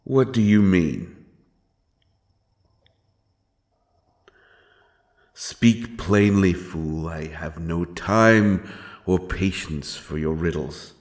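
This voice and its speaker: A man playing a stern, menacing but honourable monster hunter in a dark fantasy audio drama, speaking in solemn, archaic phrases. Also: low-pitched, slow pace. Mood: impatient. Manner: archaic, solemn, threatening speech. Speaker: audio roleplay performer. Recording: music behind the voice.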